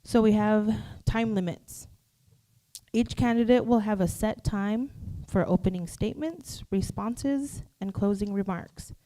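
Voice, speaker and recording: Mellow-voiced woman announcing forum rules. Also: medium pitch, a little slow. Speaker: youth program staffer reading debate rules. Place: live candidate forum before an audience.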